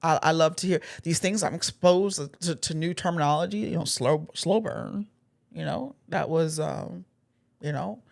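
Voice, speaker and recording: A woman's voice: sits low, normal speed, gravelly, a little mellow. A woman co-hosting a podcast in casual chat, talking earnestly and circling back over her words. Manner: earnest, rambling with many restarts and fillers. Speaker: podcast co-host.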